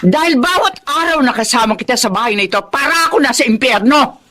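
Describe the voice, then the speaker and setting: A woman's voice: high voice, animated, fast talker, pushed and bright, heavily acted. An actress with a Filipino accent playing a bitter, hard-hearted middle-aged mother in a melodramatic radio drama. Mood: scornful, defiant.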